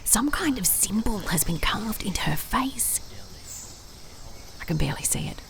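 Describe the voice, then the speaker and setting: A woman playing a curious, determined private investigator in an audio drama, speaking in a clipped, brooding, measured way as if dictating case notes. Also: mid-range voice, expressive, creaky, fairly bright tone. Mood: hushed, intrigued.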